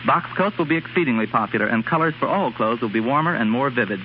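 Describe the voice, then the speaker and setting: A man's voice: mid-range voice, expressive, fast talker. A man, a fashion expert guest, giving a scripted talk in 1930s radio style. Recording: hissy muffled 1930s radio recording.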